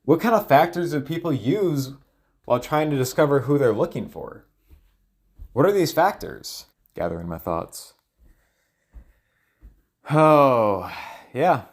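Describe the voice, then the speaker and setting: A man's voice: mid-range voice, sing-song, a little slow, pauses often. A man thinking aloud in a loose solo monologue, hesitant and often trailing off as he searches for words. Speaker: solo audio log creator.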